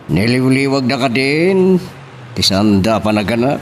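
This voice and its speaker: A man's voice: mid-range voice, measured pace. A man with a Filipino accent playing a genial, curious father-in-law in an amateur audio drama, with noise behind him. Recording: steady background noise.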